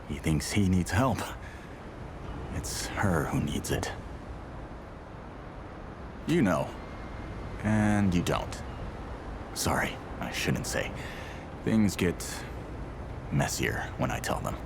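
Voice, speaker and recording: An odd male seer from a video game. His low voice swings up and down as he speaks in cryptic, halting, distracted rambles. There is background noise. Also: vocal fry, exaggerated voice. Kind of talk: video game character dialogue.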